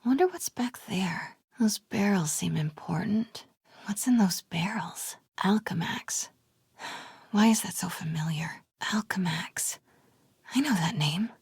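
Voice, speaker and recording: A woman voicing a plucky, curious young American reporter in a video game, with a breathy voice and a natural, wry delivery. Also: medium pitch, lively intonation, slow, dry recording, clean studio sound, exaggerated voice. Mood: hushed, curious. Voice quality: breathy, often hushed.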